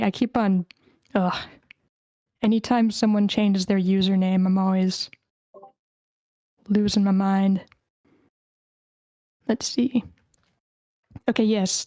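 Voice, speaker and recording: Relaxed woman hosting online, close dry sound. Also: a little high, dry recording. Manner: informal, chatty, thinking aloud. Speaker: host of an online script table read. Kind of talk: casual event hosting.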